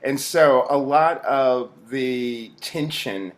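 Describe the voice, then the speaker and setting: A man speaking as an actor in a reflective interview, in a halting, thoughtful way with frequent pauses. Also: mid-range voice.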